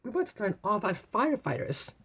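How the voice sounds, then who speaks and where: Woman putting on an eager, high-pitched cartoon puppy girl. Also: big pitch swings, fast talker, husky. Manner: exclamatory, eager. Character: excitable young female cartoon puppy, bossy and cheerful.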